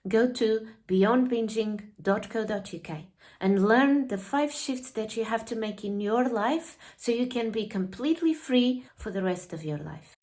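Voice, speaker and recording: A woman's voice: a little high, measured pace, few pauses, darkish tone. A woman reading her own ad in a direct, persuasive way. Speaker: advertiser. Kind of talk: scripted ad read.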